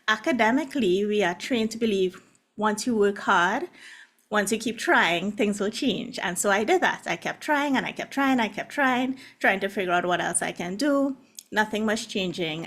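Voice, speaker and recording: A woman in her forties speaks with a Trinidadian accent, earnest and open as she tells her own story. She talks over a video call at an everyday middle pitch. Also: fairly bright tone. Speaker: guest love coach and hypnotherapist. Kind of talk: casual video-call presentation.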